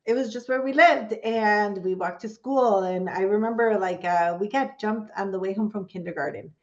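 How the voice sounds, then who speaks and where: A woman's voice: medium pitch, normal speed. A woman from Chicago with a mid-range voice, chatting loosely and circling around her question with plenty of fillers. Her sound is somewhat dull and lo-fi. She sounds like a podcast host in casual conversation. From Chicago, USA.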